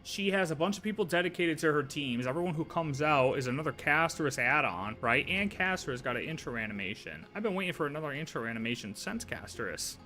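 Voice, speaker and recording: A man livestreaming a game, talking casually and thinking out loud in slangy, sometimes profane speech. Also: on the high side, lively intonation, fairly quick, hardly any pauses, faint music behind. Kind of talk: casual game playthrough commentary.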